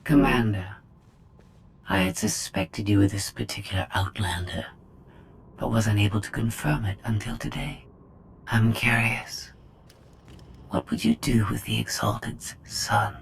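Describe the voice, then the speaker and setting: An actress playing a calculating female droid in a video game, speaking in a measured, formal, superior manner. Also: rumbling low, vocal fry, slight background noise, exaggerated voice. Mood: cool, calculating. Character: cold, calculating female droid, proud of her intellect. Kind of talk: scripted video game acting.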